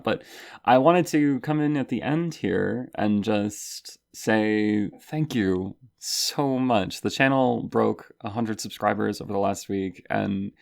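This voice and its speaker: A man's voice: fairly low pitch, gravelly, darkish tone. A young man, an ASMR channel host, talking casually out of character to his listeners.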